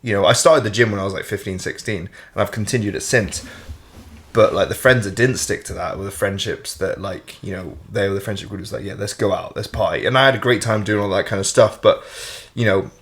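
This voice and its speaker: A man's voice: fairly low pitch, fairly quick, a bit bright. A man, chatting casually as host, rambling with lots of fillers and the odd swear word. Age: 20s. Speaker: fitness coach and host. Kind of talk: casual chat.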